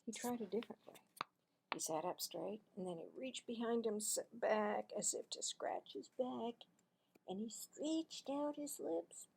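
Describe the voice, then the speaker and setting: Slow, higher-pitched woman reading a children's story. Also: gravelly, a little hiss or hum, poor audio. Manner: expressive, deliberate read-aloud with stumbles. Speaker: teacher reading aloud. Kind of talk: children's story read aloud.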